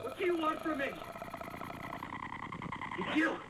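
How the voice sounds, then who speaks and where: A man's voice: very high-pitched, steady tone, measured pace, a bit bright. A man playing a desperate, bereaved man in an amateur horror film, pleading in an anguished, melodramatic way.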